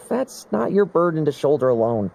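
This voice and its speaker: A woman's voice: low voice, big pitch swings, unhurried, creaky, dark tone, a little hiss or hum, heavily acted. An actress playing a wry young knight woman in a game, delivering dry, teasing quips. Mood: reassuring. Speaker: game character.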